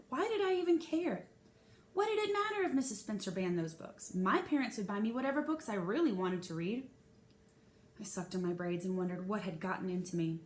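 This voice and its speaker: A woman reading a children's novel aloud as a first-person storyteller, dramatizing the young narrator's feelings expressively. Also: mid-range voice. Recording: echoey room with faint hiss.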